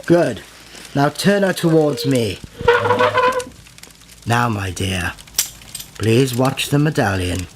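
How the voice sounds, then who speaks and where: Haughty villain lord for radio drama, played by a man: sardonic, commanding, swooping delivery, noisy audio. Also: lowish voice. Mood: hypnotic, slow. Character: haughty villainous lord leading an occult ritual, suave and menacing. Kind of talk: old-time radio play acting. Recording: noisy recording with clicks.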